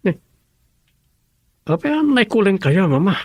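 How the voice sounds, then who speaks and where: An actor playing a tender, earnest young suitor with a husky voice and an Ilocano Filipino accent in a radio drama. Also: male voice, sits high, big pitch swings, measured pace, darkish tone, no echo, heavily acted. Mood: eager. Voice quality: husky, creaky.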